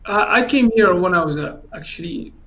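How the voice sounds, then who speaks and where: A man's voice: sits high, expressive, normal speed, a bit bright. Hesitant man candidate. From Finland. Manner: hesitant, many fillers and restarts. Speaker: election candidate. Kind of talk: panel answer.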